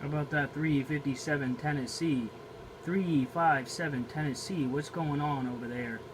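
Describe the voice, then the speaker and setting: A man's voice: fairly high pitch, steady tone, moderate pace. An American man making slangy CB radio calls, repeating catchphrases and call signs. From New Jersey, United States. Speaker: CB radio operator. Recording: noisy radio chatter and vehicle ambience.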